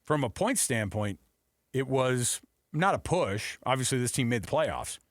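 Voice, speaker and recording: Man, American accent, mid-pitched voice, close dry sound, sports radio host, conversational, emphatic, repetitive phrasing. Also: sing-song, measured pace, no echo. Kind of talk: unscripted sports talk and analysis.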